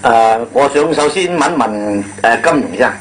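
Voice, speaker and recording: A man's voice: mid-range voice, expressive, moderate pace. A man, a storyteller and panel guest, putting questions in a discursive, informal conversational way. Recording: old radio recording, faint hum.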